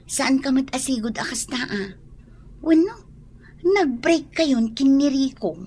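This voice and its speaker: A young woman best friend in a radio drama, nosy and protective. She draws her words out slowly in a very high voice, with some noise behind her. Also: mellow. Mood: concerned, prying. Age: young adult. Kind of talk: Ilocano radio drama acting.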